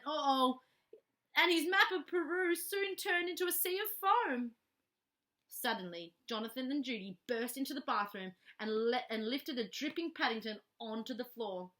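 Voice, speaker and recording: A woman reading a children's story aloud expressively, animated and adding playful little asides. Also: high voice. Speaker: storybook reader.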